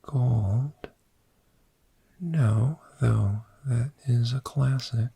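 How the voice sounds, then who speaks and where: A man voicing a friendly, gentle dragon in a soft-spoken, soothing ASMR roleplay, with a breathy whisper and long pauses. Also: mid-range voice, no room sound, heavily acted. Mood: cozy, welcoming. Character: friendly, gentle cave dragon fond of sleep.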